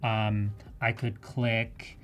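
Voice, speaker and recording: A woman with a Canadian accent giving a live software demonstration in a casual, chatty and enthusiastic way. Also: extremely low pitch, little pitch movement, drawn-out words. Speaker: presenter of a live software tutorial.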